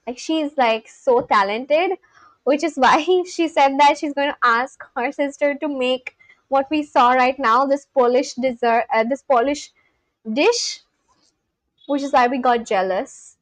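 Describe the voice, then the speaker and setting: A woman with an Indian accent hosts a live stream and chats with her viewers in a loose, friendly way, laughing often between remarks. Her voice sits high and bright. The sound is dry and close, with no room ring. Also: a little slow, no room sound, rough recording. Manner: chatty, laughs often, talks straight to chat. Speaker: livestream host.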